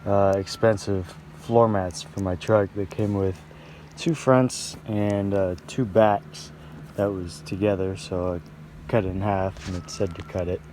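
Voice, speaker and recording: A young man rambling casually for a vlog in a halting, meandering way that often trails off. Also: deep voice, fairly even intonation. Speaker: vlogger. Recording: loud vehicle and outdoor noise.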